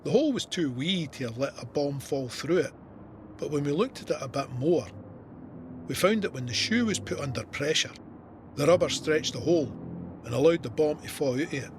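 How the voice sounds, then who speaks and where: An actor with a Scottish accent playing a soldier who narrates his war memoir in a measured, vivid, plain-spoken way. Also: fairly high pitch, lively intonation, background noise, close to an everyday voice. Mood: reflective. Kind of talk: scripted first-person war memoir narration.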